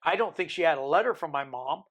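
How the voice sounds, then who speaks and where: A man in his sixties, from the Isle of Man, with a Birmingham accent softened by decades in America, telling his life story in an interview with rambling, joking asides. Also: fairly high pitch, expressive, fast talker. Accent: American-tinged Birmingham English. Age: 60s. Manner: rambling, joking, self-deprecating. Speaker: interview guest.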